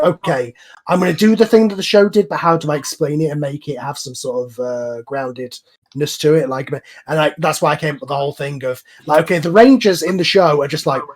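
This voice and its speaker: A man hosting a casual discussion over an online voice call, explaining things in a rambling way as a show's creator. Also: on the high side. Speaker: host and series creator.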